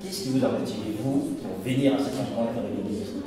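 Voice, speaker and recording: Brief: male on-site interviewer, rapid delivery, distant mic in a booming hall with a crowd murmuring. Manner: quick, filler-heavy questions. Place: large reception room at an embassy. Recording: echoey hall, far mic, crowd behind.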